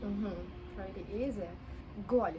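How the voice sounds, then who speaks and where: A woman teacher with a Russian accent giving a friendly vocabulary lesson, slowly repeating words and laughing often. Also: mid-range voice, noisy background.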